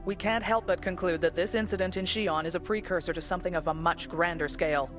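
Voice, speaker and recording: A woman's voice: lowish voice, fairly even intonation, quick pace, lightly acted. Woman voicing a sober anime aide over music. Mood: sober, formal. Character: sober, formal aide delivering a briefing. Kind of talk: English anime dub acting.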